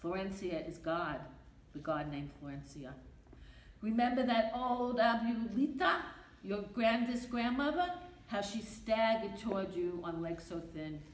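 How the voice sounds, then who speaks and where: A woman's voice: mid-range voice, animated, unhurried. An older woman with a Brooklyn accent reading a poem at a podium, emphatic and rhythmic, repeating her refrains. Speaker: poetry reader. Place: library meeting room. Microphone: podium mic from a far camera. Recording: echoey room with faint noise.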